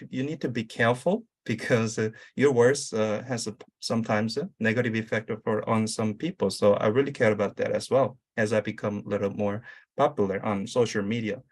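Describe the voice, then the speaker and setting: A man with a Korean accent speaking English as a second language, a career coach giving an online summit talk, halting and full of fillers. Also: mid-range voice. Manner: halting, many uh fillers and restarts. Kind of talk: conference talk over video.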